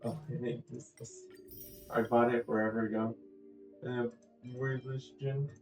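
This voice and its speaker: A man's voice: mid-range voice, little pitch movement, a little slow, a little mellow. A man giving casual, offhand gameplay commentary, thinking aloud as he plays. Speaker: gaming video host. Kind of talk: casual game playthrough commentary. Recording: echoey, faulty audio over game music.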